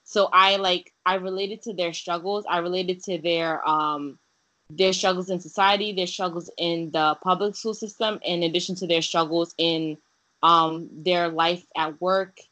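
A woman's voice: lowish voice, fairly even intonation, unhurried, sharp tone, low-quality recording. A young woman from Haiti, a guest in a casual interview, talking earnestly with many fillers and restarts. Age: young adult.

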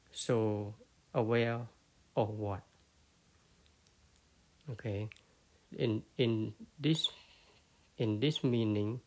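A man with a Cambodian accent talks through a Buddhist teaching in an unhurried, searching way. His low voice carries a gravelly fry, and he pauses often, restarting phrases with fillers as he looks for the right English word. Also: darkish tone. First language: Khmer. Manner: halting, many fillers and restarts. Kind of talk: unscripted dharma talk.

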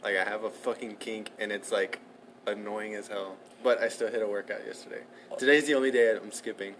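A man's voice: lowish voice, steady tone, fairly quick, a bit bright, slight background noise. A young man co-hosting a podcast, talking casually and rambling, filling sentences with "like" and the odd swear. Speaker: podcast co-host. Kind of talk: casual chat.

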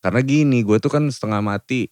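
A man's voice: medium pitch, a little mellow, dry recording, studio quality. A man hosting a podcast in casual chat, teasing his guest and laughing often. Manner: laughs often, teasing, quick back-and-forth. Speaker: podcast host.

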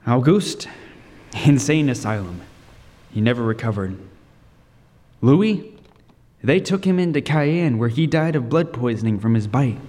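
A man playing a haunted lighthouse keeper, telling his ordeal as radio drama narration with grim, suspenseful, deliberate delivery. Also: mid-range voice, expressive, a little mellow, lightly acted. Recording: echoing hall reverb. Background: music.

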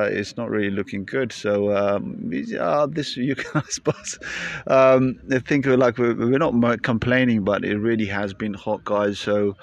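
A man's voice: on the low side, gravelly. A British man hosting a podcast, chatting casually outdoors with plenty of hesitations and fillers. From Britain. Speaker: podcast host. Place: outdoors in a busy public spot.

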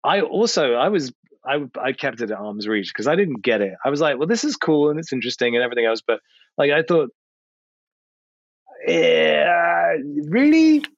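A man's voice: fairly high pitch, very animated, moderate pace, no echo. Male podcast guest, British accent, casual anecdotal delivery with quoted dialogue, close dry sound, a touch lo-fi. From United Kingdom. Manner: informal, repeats phrases for emphasis, quotes imagined dialogue. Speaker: podcast guest, video producer. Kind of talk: casual chat, animated anecdotes.

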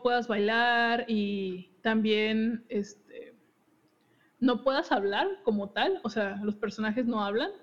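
A young woman hosting a podcast, casually explaining game lore with frequent giggles and restarts. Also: fairly high pitch. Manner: giggly, chatty, self-interrupting. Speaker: podcast host. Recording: dull lo-fi audio.

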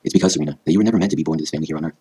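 Casting: man playing gentle, wise queen mother, formal phrasing, low husky voice, fantasy tale. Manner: measured, formal advice.

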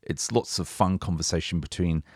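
Male podcast host, cheerful and chatty, lowish voice, dry close sound. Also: normal speed, darkish tone, dry recording. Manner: upbeat, chatty, laughs often. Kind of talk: casual podcast chat.

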